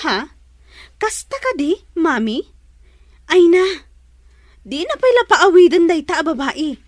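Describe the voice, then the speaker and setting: A woman's voice: extremely high pitch, big pitch swings, measured pace, no echo, studio quality, heavily acted. A woman playing a spiteful, whiny young daughter who complains petulantly, in an Ilocano-accented radio drama.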